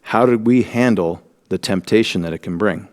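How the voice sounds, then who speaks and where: A man hosting a church talk program, giving a measured, earnest scripted introduction. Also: lowish voice, darkish tone. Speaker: host.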